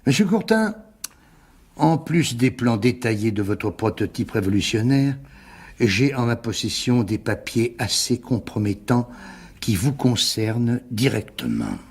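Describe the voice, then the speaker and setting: A man's voice: mid-range voice, sing-song, unhurried, breathy edge, heavily acted. An actor playing a wily middle-aged businessman in a radio mystery play, cowardly at heart, unctuous, condescending and theatrical. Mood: smug, menacing. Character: wily older businessman, cowardly then smugly blackmailing.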